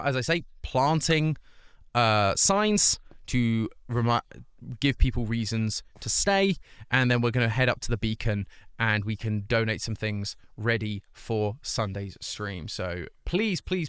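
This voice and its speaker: Smooth-voiced man on a livestream, talking to chat. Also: mid-range voice, a bit bright, no echo, clean studio sound. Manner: earnest, pleading appeals to chat, rambling restarts. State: recovering from an illness, voice not at full strength. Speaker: livestreamer hosting a charity stream.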